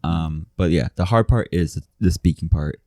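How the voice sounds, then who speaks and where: Very deep-voiced young man, dry sound. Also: darkish tone, dry recording. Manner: crude, giggly, halting. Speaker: podcast co-host. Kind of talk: casual chat.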